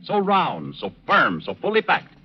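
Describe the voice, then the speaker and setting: A male radio pitchman in 1940s style, punching out slogans in a high voice with lively swings. The recording is dull and lo-fi. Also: unhurried, breathy edge. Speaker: commercial announcer.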